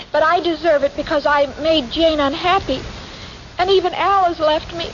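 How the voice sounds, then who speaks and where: A dull, very noisy old radio recording of a sweet, muddle-headed girl. Her voice is extremely high and girlish. She speaks with earnest, literal-minded innocence in 1940s comedy style. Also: steady tone, slow pace, crowd noise. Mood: forlorn. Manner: naive, literal-minded, plaintive. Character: dim, sweet, muddle-headed young woman, eager to please.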